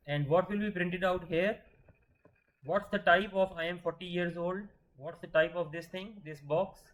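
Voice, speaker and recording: A man's voice: on the high side, low-quality recording. Male teacher, rhetorical questions. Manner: patient, asks questions then answers them; restarts words mid-sentence. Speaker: programming teacher. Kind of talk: screen-share coding lesson.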